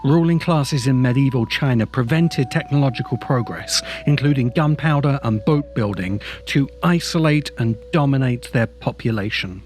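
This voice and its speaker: A man narrating a scripted video essay in a measured, emphatic way, as its host. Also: medium pitch, darkish tone. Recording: music behind the voice. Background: background music.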